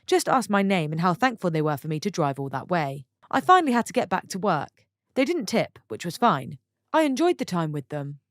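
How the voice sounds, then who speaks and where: A woman reading online stories aloud for her channel, stopping for chatty, expressive asides to her viewers. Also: on the low side, no room sound, studio quality. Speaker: story channel narrator.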